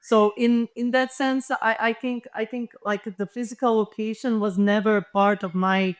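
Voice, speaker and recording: A woman's voice: a little high, steady tone, moderate pace. A woman from the former Yugoslavia, a native Serbo-Croatian speaker, thinking aloud on a panel with many hesitations. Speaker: panelist and literature researcher. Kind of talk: reflective panel discussion answer.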